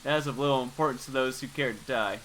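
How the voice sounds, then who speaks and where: A man's voice: medium pitch, quick pace, fairly bright tone, slight background noise, pitched below the performer's own voice, natural voice, poor audio. A man voicing a menacing, condescending game villain who speaks in a formal, threatening manner.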